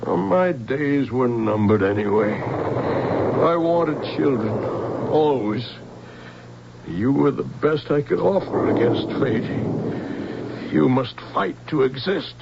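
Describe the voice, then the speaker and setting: Casting: solemn dying inventor, fatherly, gravelly slow delivery, radio play, dull noisy vintage recording. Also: medium pitch, lively intonation. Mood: solemn, visionary. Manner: solemn, halting. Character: weary, dying scientist, fatherly creator of robots, solemn. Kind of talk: old-time radio drama acting.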